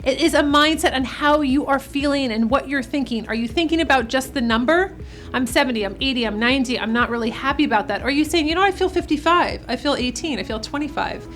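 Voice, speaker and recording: A woman's voice: high voice, fast, a bit bright, soft background music. A woman hosting a makeup tutorial, speaking to her viewers in an upbeat, encouraging way. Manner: upbeat, encouraging pep-talk delivery. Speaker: host, makeup artist. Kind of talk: beauty tutorial vlog, addressing viewers.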